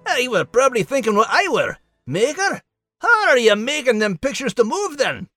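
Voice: medium pitch, very animated, normal speed, pushed and bright, dry recording, faint music behind. A performer voicing a playful male animal co-host with a Scottish accent who teases with puns. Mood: curious. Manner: teasing, joking, dialect words. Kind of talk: scripted podcast banter.

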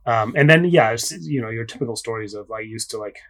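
A man's voice: medium pitch, fairly bright tone. Raised man, reflective founder. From Spain. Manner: reflective, with many fillers and pauses. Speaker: startup founder guest. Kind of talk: casual interview talk.

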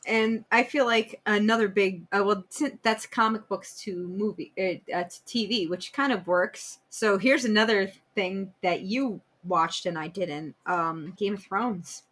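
A woman with a bright, forward voice at a middling pitch speaks as a podcast co-host. Her delivery is informal, opinionated conversation. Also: unhurried, hardly any pauses. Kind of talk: casual opinionated chat.

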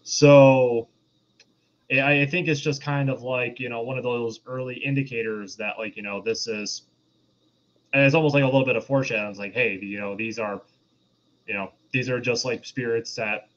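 A man's voice: medium pitch, fairly even intonation, normal speed, highs cut off. A man co-hosting a podcast, explaining things in a rambling, casual film discussion. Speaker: podcast co-host.

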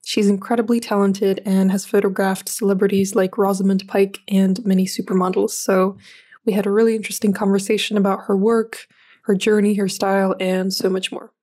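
A woman hosts a podcast, speaking in a mellow, soft-toned voice at a middle pitch. She reads her introduction in an even, measured way, then interviews with short encouraging replies. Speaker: podcast host.

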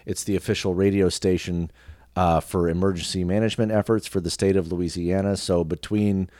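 A man speaking as an interview guest in a casual, reflective way, with measured and halting phrasing. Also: low voice, steady tone, mellow, no echo, clean studio sound. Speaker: podcast guest, radio personality and actor.

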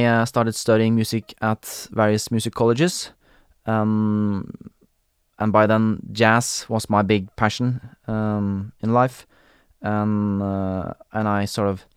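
A young man from Norway hosting a podcast in English with a Norwegian accent, talking casually with frequent pauses and fillers. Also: on the low side, clear, resonant tone, no room sound, studio quality. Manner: hesitant, many fillers and restarts, laughs often. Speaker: podcast host. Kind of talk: casual solo podcast talk.

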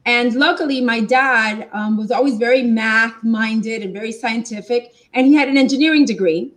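A Cuban-born woman speaks as an interview guest, telling stories casually and quickly with a few restarts. Her voice sits a little high. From Cuba.

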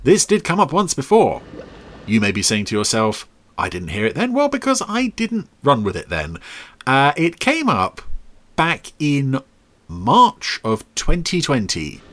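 Wry man, very animated pitch. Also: a little slow. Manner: wry, self-deprecating. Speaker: review segment presenter. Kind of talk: comic scripted review narration.

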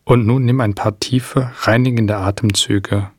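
Man, guided relaxation narration, lowish pitch, soft husky tone, calm measured delivery, very dry close sound. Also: no room sound. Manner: calm, soothing, measured with long pauses. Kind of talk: guided meditation, scripted narration.

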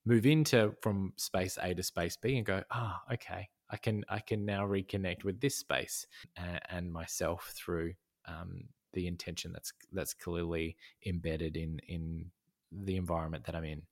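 A man's voice: low voice, steady tone, measured pace. A man talking as a podcast guest in a casual conversation, thoughtful, with many fillers and restarts. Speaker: podcast guest, design educator.

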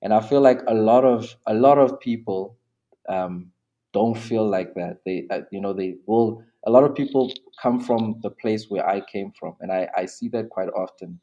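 A man's voice: lowish voice, normal speed, mellow, lo-fi sound. A young South African man talking casually as an interview guest, rambling with many restarts and fillers.

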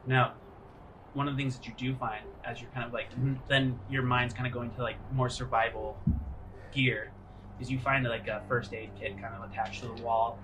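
A man improvising narration as game master of a tabletop roleplaying game, piecing his descriptions together haltingly. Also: mid-range voice, level tone, background noise. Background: music.

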